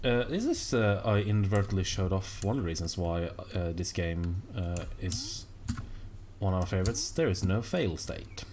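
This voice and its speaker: Soft-spoken male streamer, lively lilt. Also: low-pitched, moderate pace, noisy background. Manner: soft-spoken, reads viewer chat aloud and thanks viewers warmly. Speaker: game streamer. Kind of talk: casual live-stream chat while gaming.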